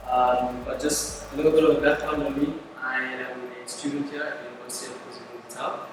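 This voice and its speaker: Flat-toned man from Australia. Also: medium pitch, fast. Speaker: master's student. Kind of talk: self-introduction. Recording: distant, reverberant hall with noise.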